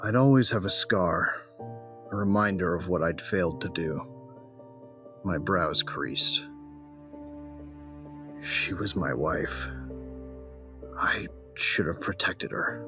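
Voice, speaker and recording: A man's voice: sits low, slow pace, pauses often, over music. A man playing a grieving, embittered bounty hunter who narrates his own story in an audio drama, brooding and terse. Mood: anguished.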